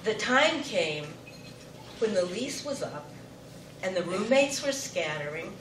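A woman's voice: mid-range voice, big pitch swings, slow. An older woman telling a personal story to a live audience with wry, self-mocking humor. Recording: roomy, distant, noisy live recording.

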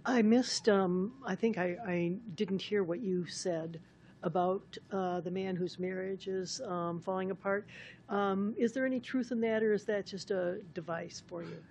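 Hesitant woman with a gravelly edge. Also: medium pitch, unhurried, darkish tone, slight background noise. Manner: hesitant, lots of ums. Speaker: interviewer. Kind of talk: radio interview question.

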